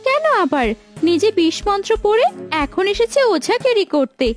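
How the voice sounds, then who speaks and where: A woman voicing a haughty, greedy young married daughter in a cartoon, scolding and nagging in a sharp, dismissive manner. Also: very high voice, very animated, moderate pace. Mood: indignant. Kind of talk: cartoon moral-story voice acting. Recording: music behind the voice.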